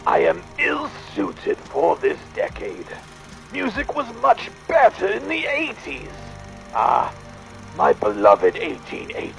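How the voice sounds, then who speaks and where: A man playing a fussy, excitable Victorian gentleman inventor, speaking a pompous, wordy audio diary in a theatrical drama. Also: fairly high pitch, takes it slowly, vocal fry, soft background music, exaggerated voice, poor audio. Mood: wistful. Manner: pompous, wordy, hesitant musing.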